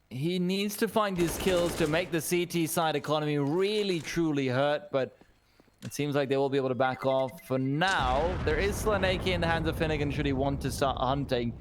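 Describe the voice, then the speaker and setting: A man casting a live esports match, English not his first language, energetic and jokey, laughing often. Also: a little high, noisy background. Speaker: esports caster. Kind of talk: live play-by-play commentary.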